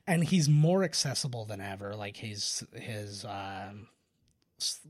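A man's voice: fairly low pitch, expressive, slow pace. A man co-hosting a podcast with casual film commentary, rambling and joking with plenty of swearing. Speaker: podcast co-host.

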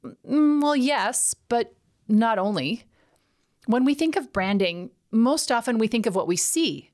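A middle-aged woman with a slight Canadian accent hosting a scripted solo podcast, enthusiastic and emphatic, slowing down on key words. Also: a little high, lively intonation, darkish tone. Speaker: podcast host.

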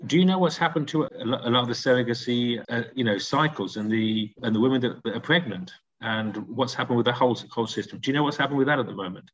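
A man's voice: medium pitch, fast, few pauses. A man interviewing a colleague for a podcast, asking long rambling questions full of fillers. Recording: dull lo-fi call audio.

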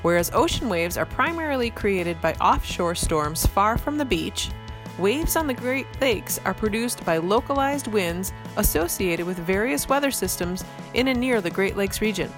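A woman's voice: mid-range voice, animated, normal speed. Woman reading a segment over music. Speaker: podcast segment presenter. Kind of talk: scripted narration. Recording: clear music bed behind her.

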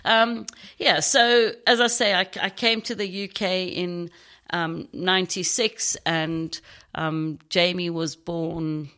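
A middle-aged woman from South Africa with a South African accent, a podcast guest telling her story thoughtfully with many pauses and ums. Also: lowish voice, steady tone, a bit bright. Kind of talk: casual interview conversation.

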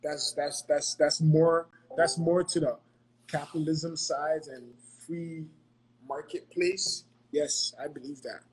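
A man from Ghana talks in a slightly high voice, earnest and motivational, looping back over his points and tagging them with "right?". Under his voice sits a faint electrical hum. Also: steady tone, takes it slowly, poor audio. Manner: earnest, repeats himself, ends points with "right?". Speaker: podcast co-host giving financial advice. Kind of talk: motivational casual talk.

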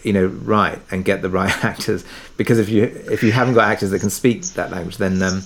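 Male interview guest, fairly low pitch, reflective and hesitant, explaining in a conversational way. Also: steady tone, brisk, slight background noise. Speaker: interview guest, audio drama producer.

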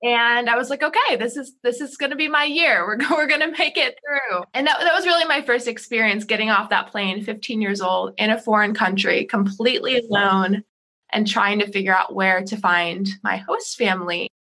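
Bright, chatty woman telling stories. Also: a little high, moderate pace. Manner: chatty, animated storyteller. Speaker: podcast guest, ESL teacher. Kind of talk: casual interview storytelling.

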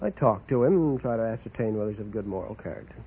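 A man's voice: on the low side, lively intonation, racing, darkish tone, slightly rough. A man from New York City answering a quiz host's questions in a polite, earnest, slightly formal way. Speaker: quiz show contestant, bail bondsman. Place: radio show stage. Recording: muffled old-time radio recording.

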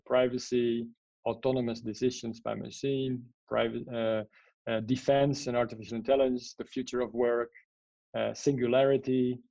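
A man's voice: mid-range voice, vocal fry, warm tone, no room sound, highs cut off. A man from the Netherlands, a guest in an interview, explaining at length with many fillers and checking "yeah?" questions. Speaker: guest, AI strategist and author. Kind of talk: interview answers.

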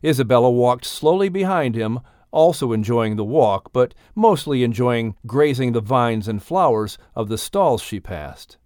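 A man's voice: mid-range voice, lively intonation, a little slow, darkish tone, no room sound, clean studio sound. A man reading a children's story aloud as a scripted audiobook narrator.

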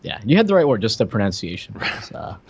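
A man's voice: lowish voice, sing-song, quick pace, breathy edge. A man from Puerto Rico co-hosting a casual film and TV podcast in a teasing, joking way. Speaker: podcast co-host. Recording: slightly dull, lo-fi audio.

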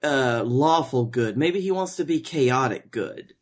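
A man co-hosting a comedy podcast, riffing casually in a dry, measured way. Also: medium pitch, lively intonation.

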